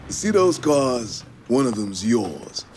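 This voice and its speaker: An actor playing a cool, cocky street racing mentor in a video game cutscene, slangy and laid-back. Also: male voice, medium pitch, very animated, slow, darkish tone, slight background noise. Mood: cool, approving.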